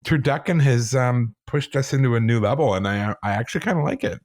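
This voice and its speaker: A man's voice: mid-range voice, a little fast, a little mellow, dry recording, clean studio sound. A man co-hosting a podcast in casual chat, agreeable and easygoing in how he chimes in. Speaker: podcast co-host.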